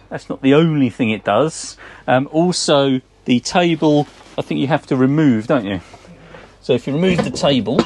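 Relaxed English man giving a boat tour. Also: mid-range voice, slight background noise. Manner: relaxed, chatty, frequent ums, pointing out features. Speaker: boat sales presenter. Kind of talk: casual walkthrough tour. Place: outdoors on a boat in a marina.

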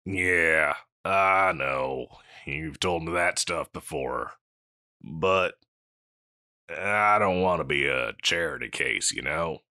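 A man playing a scruffy, insecure slacker catboy pet in a comedic audio roleplay, with a halting, mumbly dude drawl. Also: very deep voice, pushed and bright, no echo, studio quality, heavily acted. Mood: self-deprecating, resigned.

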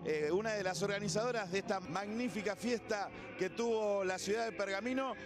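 Casting: Argentine man, TV presenter, high voice, enthusiastic broadcast delivery, music underneath. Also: brisk. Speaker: TV host and reporter.

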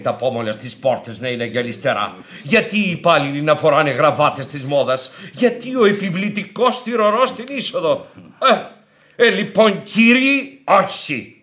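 An actor playing a pompous, nervy, easily flustered bank director in a theatrical radio drama. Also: male voice, on the high side, fairly bright tone. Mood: self-satisfied. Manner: pompous, flustered, stammering pet exclamations. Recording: old radio theatre recording.

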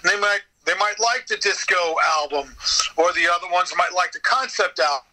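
A man with a fairly high, very bright and forceful voice talks casually as an interview guest. He tells stories in an animated way, acting out bits of conversation and swearing lightly. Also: moderate pace, poor audio. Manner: animated storytelling, quoting exchanges, mild swearing. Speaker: podcast guest, voice actor.

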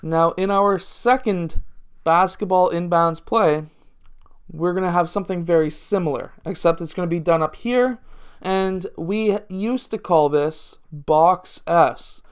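A man's voice: sits high, a little slow, clear, resonant tone. A man, a basketball coach talking through plays step by step in a casual instructional way. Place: small indoor room at home.

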